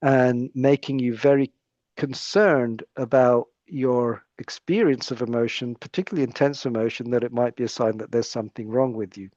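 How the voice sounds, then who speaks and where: A man's voice: mid-range voice, normal speed, darkish tone, no echo, dull audio. A British man speaking as a psychiatrist guest in an interview conversation, explaining his points in a thoughtful way and often restarting his phrases.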